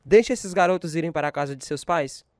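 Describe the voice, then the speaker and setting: A man's voice: a little high, a little fast, noisy background, lightly acted. An actor playing a stern middle-aged military father in a radio drama, curt and commanding. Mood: firm, indignant.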